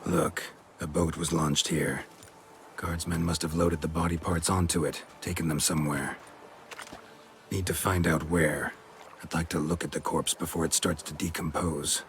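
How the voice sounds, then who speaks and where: An actor playing a stoic, gruff monster hunter in a video game, with a clipped, deadpan delivery in a gravelly growl. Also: male voice, rumbling low, moderate pace, slight background noise. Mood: businesslike. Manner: clipped, deadpan, drops subjects from sentences. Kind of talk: video game cutscene acting.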